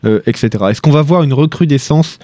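A male radio host asks measured questions, often pausing on fillers. His voice is mellow and relaxed, recorded close and dry. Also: on the high side, no room sound. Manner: measured questions with many hesitations. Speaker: radio interviewer. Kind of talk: radio interview.

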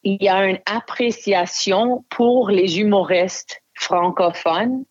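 A woman's voice: mid-range voice, slow. A woman comedian from Ontario, an English Canadian speaker talking frankly in French and dropping English fillers, in an interview. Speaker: stand-up comedian. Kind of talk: interview answers. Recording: dull lo-fi recording.

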